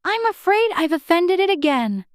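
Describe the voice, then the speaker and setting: A woman's voice: very high-pitched, big pitch swings, normal speed, no room sound, clean studio sound, voice pushed up. A woman voicing a polite, eager little girl with an earnest, childlike manner in a dramatized audiobook. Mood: apologetic. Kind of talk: dramatized audiobook character dialogue.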